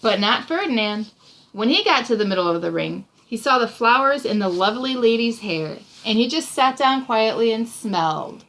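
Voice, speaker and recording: A woman's voice: on the high side, lively intonation, moderate pace, a bit bright, low-quality recording. A woman reads a storybook aloud and discusses it in an encouraging, explanatory way. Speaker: reading tutor reading aloud to a child. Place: small room indoors.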